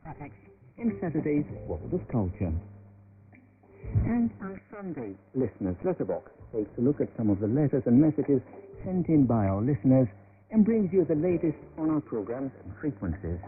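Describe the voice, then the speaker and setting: A male radio announcer comes through a thin, phone-like shortwave signal. He reads a scripted programme guide with very lively, swinging intonation. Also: mid-range voice, moderate pace, a little hiss or hum. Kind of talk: scripted programme promo narration. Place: radio studio. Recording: narrow-band shortwave radio transmission.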